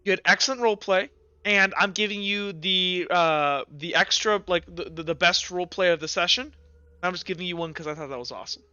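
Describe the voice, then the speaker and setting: High, bright-voiced man running a game. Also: a little fast, clear, resonant tone, dry recording, muffled recording. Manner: animated, sweary, informal. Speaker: game master. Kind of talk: casual chat running a tabletop roleplaying game.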